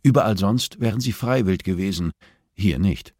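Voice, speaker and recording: A man's voice: deep voice, moderate pace, darkish tone, breathy edge, no echo, studio quality. A man reading scripted audiobook narration of a thriller.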